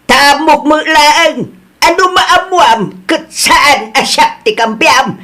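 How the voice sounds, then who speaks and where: A woman's voice: sits high, takes it slowly, a bit bright. A woman with an Ilocano accent playing a proud, quarrelsome village mother-in-law in an amateur audio drama. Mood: defiant.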